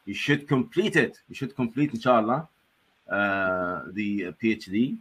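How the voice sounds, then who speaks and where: A man's voice: on the low side, lively intonation, unhurried, fairly bright tone, husky. A man chairing an academic conference session on a video call, chatting casually with many fillers and restarts.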